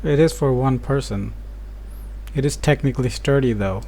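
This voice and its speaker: A woman's voice: a bass voice, normal speed, creaky, warm tone, a little hiss or hum. A woman giving casual, laconic gameplay commentary as a streamer, with a dry manner. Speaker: game streamer.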